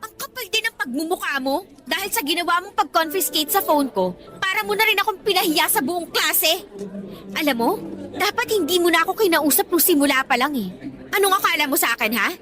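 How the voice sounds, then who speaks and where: A high-voiced, bratty teenage schoolgirl. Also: expressive, brisk, pushed and bright, full character voice. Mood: indignant. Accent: Filipino. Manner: bratty, defiant, sneering. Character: insolent, spoiled teenage schoolgirl talking back. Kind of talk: Tagalog radio drama acting.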